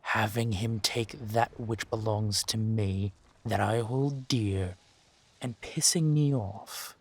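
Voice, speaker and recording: A man playing a ruthless, mocking warrior villain in a fantasy audio drama, with a husky voice and a deliberate, taunting delivery. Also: on the low side, fairly even intonation. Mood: contemptuous.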